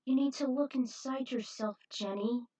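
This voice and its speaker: A woman's voice: high-pitched, normal speed, dark tone, distant mic, pitched above the performer's own voice. A woman voicing a resolute female team leader, earnest and firm, in a story reading. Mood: earnest resolve. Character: steady, resolute woman leader consoling a teammate. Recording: dull, muffled lo-fi audio.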